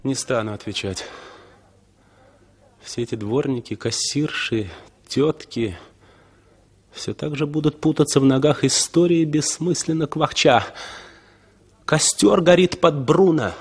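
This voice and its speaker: Brooding traveller in his thirties, husky voice, slow declamatory delivery, scornful, 1960s radio play. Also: on the high side. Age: 30s. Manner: declamatory, world-weary. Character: brooding, scornful thirty-year-old unshaven traveller at a bus station. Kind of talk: poetic inner monologue in a 1960s Soviet radio play.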